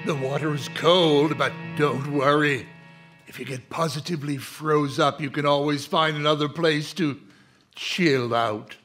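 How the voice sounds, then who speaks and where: A man acts out a ghoulish radio horror host, fond of macabre puns. He speaks slowly in a fairly high male voice, with theatrical, teasing delivery. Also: steady tone, a bit bright, faint music behind. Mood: sly, inviting. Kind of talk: old-time radio horror hosting.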